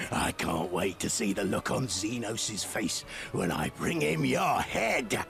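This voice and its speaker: A villainous commander in a video game, gloating and gleefully cruel. His gravelly voice swings wildly up and down in a very slow, mocking drawl, over loud music. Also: fairly low pitch, very animated, exaggerated voice. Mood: gleeful menace. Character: gleefully sadistic villainous male enemy commander, boastful.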